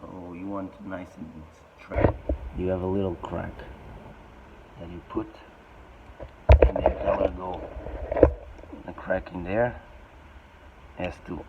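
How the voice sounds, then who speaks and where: A man with a croaky, low voice talks slowly as he walks through a repair by hand. His halting, plain-spoken explanation comes over loud outdoor noise. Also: fairly even intonation, muffled recording. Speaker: boat owner showing a repair. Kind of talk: casual how-to demonstration.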